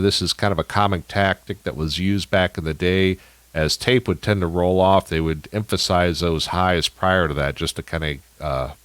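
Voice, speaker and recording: Very deep-voiced man explaining audio, dry sound. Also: no echo. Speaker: audio tutorial host. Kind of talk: casual explanatory tutorial.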